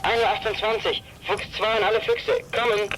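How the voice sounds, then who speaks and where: A man's voice: high voice, little pitch movement, fairly quick, fairly bright tone, slight background noise, poor audio. A man playing a boy who leads young detectives in a German children's audio drama, giving clipped, businesslike orders. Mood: tense, alert. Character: boy leader of young radio detectives, brisk, commanding.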